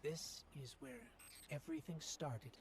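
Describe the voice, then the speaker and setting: A man's voice: a little high, big pitch swings, a little slow, vocal fry, background noise, exaggerated voice. A man playing a small, devoted robotic companion in a video game, speaking with earnest hope. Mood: awed. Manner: earnest, solemn encouragement. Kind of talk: dramatic video game dialogue.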